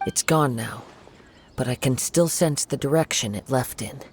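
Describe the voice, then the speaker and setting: An actor voicing a calm, thoughtful young wandering swordsman in a video game, speaking in measured, formal phrases. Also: medium pitch, a little hiss or hum, natural voice. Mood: grave, watchful. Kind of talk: video game voice acting, scripted dialogue. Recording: game music behind the voice.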